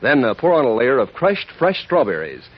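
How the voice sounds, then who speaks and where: Animated, husky vintage radio pitchman, muffled recording. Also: normal speed. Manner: chummy, folksy sales patter. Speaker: radio announcer and cereal pitchman. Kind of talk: 1940s radio advertising read.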